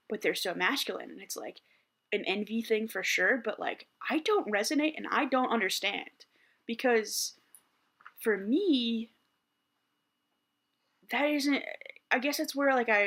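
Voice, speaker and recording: A man in his thirties with a higher voice, talking candidly in a reflective personal vlog and often restarting his sentences as he thinks aloud. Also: bright, forceful tone. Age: 30s. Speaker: vlogger.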